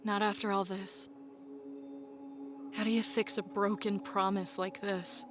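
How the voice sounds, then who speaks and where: A woman's voice: medium pitch, flat delivery, measured pace, close to an everyday voice. A woman voicing a guarded young half-genie woman in an audio drama, with dry, sarcastic banter. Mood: troubled. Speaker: voice actor. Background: faint background music.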